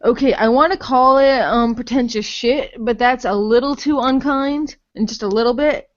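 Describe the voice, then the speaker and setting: High-voiced woman rambling bluntly, lo-fi audio. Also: normal speed. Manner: rambling, heavy use of "like"; blunt, profane. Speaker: podcast host reviewing games. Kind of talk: casual unscripted game review chat.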